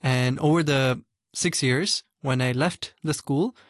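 A young man from Hong Kong, a guest answering interview questions in an earnest, measured way. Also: mid-range voice, dry recording, clean studio sound. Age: young adult.

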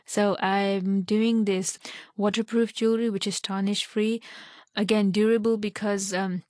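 A woman's voice: mid-range voice, little pitch movement, measured pace, darkish tone, no echo, studio quality. Chatty woman guest in her thirties. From Hong Kong. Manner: chatty, long run-on explanations. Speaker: interview guest, small-business owner. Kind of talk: conversational interview answers.

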